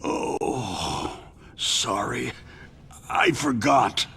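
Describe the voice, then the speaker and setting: An actor voicing a battered older American executive held captive in a video game, frightened, halting and stammering, given to agitated outbursts. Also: male voice, medium pitch, huge pitch swings, creaky, sharp tone, slight background noise, exaggerated voice. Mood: urgent, halting. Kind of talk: dramatic video game voice acting.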